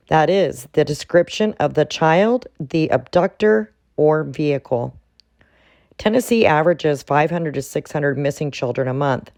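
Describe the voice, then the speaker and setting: Woman, low-pitched, mellow tone, formal scripted commentary, measured wording, dry close recording. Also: no echo.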